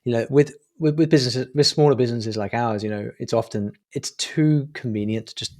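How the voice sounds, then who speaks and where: A man's voice: mid-range voice, a little fast, a little mellow. A man speaking casually as a podcast guest, thoughtful and wordy, circling back as he explains things. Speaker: podcast guest, online business owner. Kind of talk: casual interview conversation.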